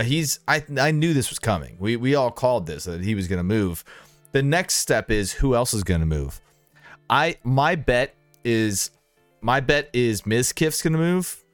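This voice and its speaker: A man's voice: mid-range voice, no echo. A man hosting a casual podcast chat about streaming, giving opinions and repeating himself for emphasis.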